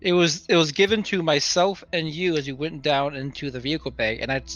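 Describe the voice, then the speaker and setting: Male tabletop player, fairly high voice, insistent and casual, slightly dull sound with music underneath. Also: fairly even intonation, brisk, fairly bright tone. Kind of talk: casual game-table chat.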